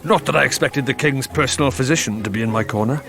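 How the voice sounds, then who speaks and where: An actor in a radio drama playing a wry, defiant working-class politician, self-mocking and emphatic. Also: male voice, medium pitch, fast talker, vocal fry, over music, natural voice. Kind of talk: British radio drama acting.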